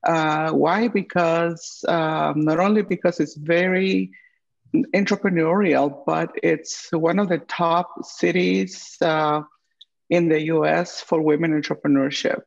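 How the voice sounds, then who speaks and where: Woman, 50s, gravelly, slow with fillers, muffled recording. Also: low-pitched, fairly even intonation. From Guatemala. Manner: unhurried, many uh fillers and pauses. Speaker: guest, chef and entrepreneur. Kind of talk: interview answers. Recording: dull lo-fi audio.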